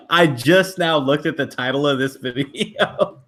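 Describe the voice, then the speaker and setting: A man chatting casually as a guest on a livestream, easygoing and quick to laugh. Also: fairly high pitch, fairly bright tone. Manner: laughs often, easygoing, short replies. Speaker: guest YouTuber. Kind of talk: casual interview chat.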